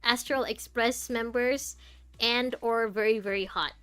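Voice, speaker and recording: A woman's voice: a little high, steady tone, measured pace, fairly bright tone, clean tone, dry recording. A woman streaming a game, chatting casually and thinking aloud in a rambling way. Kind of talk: casual chatty playthrough commentary.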